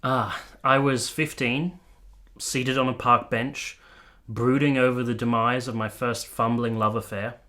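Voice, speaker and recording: A courteous, wistful army captain. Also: male voice, medium pitch, little pitch movement, unhurried, sharp tone. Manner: polite, formal, literary phrasing. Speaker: actor and narrator in a radio play. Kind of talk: dramatic radio play acting.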